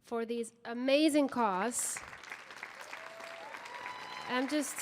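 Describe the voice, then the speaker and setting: A woman from Guatemala, a singer talking earnestly and gratefully to a concert audience between songs, with many pauses. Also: on the high side, a faint crowd, a little hiss or hum. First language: Spanish. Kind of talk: between-song stage talk. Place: concert stage in a large hall. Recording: live hall reverb with guitar behind.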